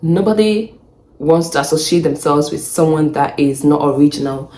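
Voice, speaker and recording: A young woman with a Nigerian accent giving casual advice in a vlog, chatty and quick to laugh, repeating points for emphasis. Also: low-pitched, dark tone. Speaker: vlogger. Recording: echoey room.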